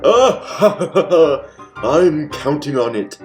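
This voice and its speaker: A man's voice: on the high side, animated, very slow, a little mellow, faint music behind, pitched above the performer's own voice. A man playing a megalomaniac, bossy mad scientist villain with theatrical delivery, in the style of old comedic radio mystery. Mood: sinister scheming. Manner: theatrical, exclaiming, cackling.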